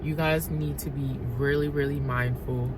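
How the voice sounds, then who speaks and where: A woman's voice: very deep voice, fairly even intonation, normal speed, background noise. A woman giving a casual, wandering tarot reading, speaking straight to her viewers as "you guys". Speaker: tarot reader.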